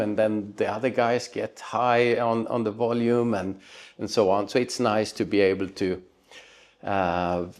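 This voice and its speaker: Slow-paced, low-voiced man explaining gear. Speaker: motorbike vlogger presenting a gear how-to. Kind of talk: casual unscripted explainer to camera.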